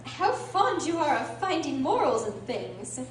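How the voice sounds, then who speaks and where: A woman's voice: sits high, sing-song, a little slow. A woman playing a polite, curious, earnest young girl in a radio drama. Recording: roomy distant recording, background noise.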